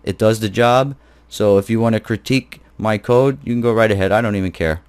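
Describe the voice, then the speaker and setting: A man's voice: on the low side, brisk, a little mellow, clear, resonant tone. A man teaching an informal screencast programming tutorial, explaining step by step in a casual, blunt, conversational way.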